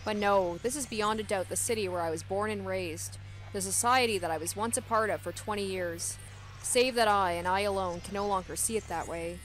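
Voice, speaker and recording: Female let's play host, mid-pitched, narrating a visual novel with casual asides, faint music underneath. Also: a little fast, a bit bright, rough recording. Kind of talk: reading visual novel aloud with casual commentary.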